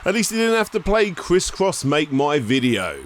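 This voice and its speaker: A man's voice: a little high, big pitch swings, moderate pace, noisy background. A man narrating a scripted video essay as host, dropping wry sarcastic asides.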